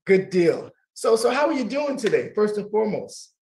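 A middle-aged man hosting a casual talk radio show, warm and laughing easily, often repeating his words. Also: high-pitched, big pitch swings, moderate pace.

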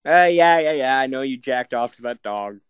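A man's voice: medium pitch, lively intonation, normal speed, smooth, no echo, lo-fi sound. A young man co-hosting a movie review podcast, teasing and making crude jokes in casual banter. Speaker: podcast co-host.

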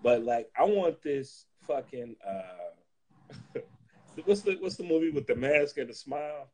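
A man in casual, comedic chat, talking in an animated, slangy, profane joking way. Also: mid-range voice, unhurried.

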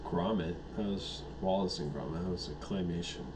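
A man's voice: deep voice, moderate pace, background noise. A man in his thirties co-hosting a podcast, joking and teasing in casual, crude chat. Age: 30s. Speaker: podcast co-host.

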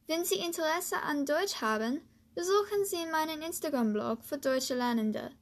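A woman from the United States, a native English speaker, hosting a podcast and slowly reading a lesson in German with hesitant fillers. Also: high-pitched. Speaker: podcast host, German learner. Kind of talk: scripted language lesson podcast.

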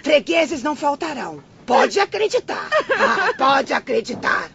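A woman's voice: big pitch swings, unhurried, gravelly, heavily acted. An actress playing a cruel, greedy middle-aged mother who scolds and insults her daughter in melodramatic radio drama style. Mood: violent rage. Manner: shrill scolding, shouted insults and commands. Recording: dull radio drama with crowd and noise.

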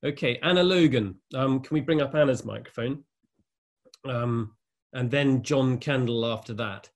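Measured male host of an online talk. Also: mid-range voice. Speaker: host, moderator. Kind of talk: online panel interview.

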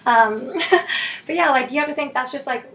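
A woman talks in a chatty, emphatic way and laughs often. Her voice sits a little high. A faint hiss runs under her speech. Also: normal speed, fairly bright tone. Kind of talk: casual podcast chat.

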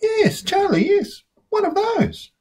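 A woman's voice: very high-pitched, very animated, slow, darkish tone, pitched above the performer's own voice, exaggerated voice. A woman voicing a fussy, stubborn little girl in a storybook, with emphatic, dramatic delivery. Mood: coaxed, eager. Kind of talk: storybook character voice.

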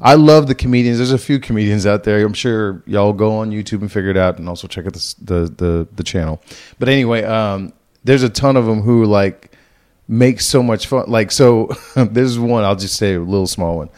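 A man's voice: on the low side, brisk, a little mellow. A man co-hosting a podcast in casual chat, laughing often and talking loosely with swearing. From Texas. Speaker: podcast co-host.

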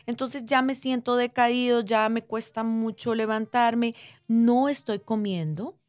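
A woman's voice: on the high side, fairly even intonation, unhurried, a little mellow, no echo. A woman speaking as a guest doctor, explaining conversationally in plain terms with diminutives and frequent check-in questions. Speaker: doctor interviewed as guest expert. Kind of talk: conversational medical explanation for lay listeners.

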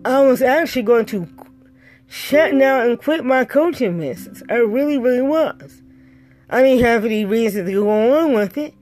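Brief: middle-aged female life coach, raspy and breathy, unhurried, soft music underneath. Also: a little high. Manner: emphatic, repeats key words. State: dry throat after a stroke. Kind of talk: motivational pep talk.

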